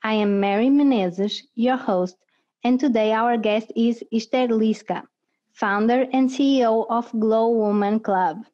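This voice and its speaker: A woman hosting an interview, introducing her guest and asking slow, measured questions. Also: a little high, lo-fi sound. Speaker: host.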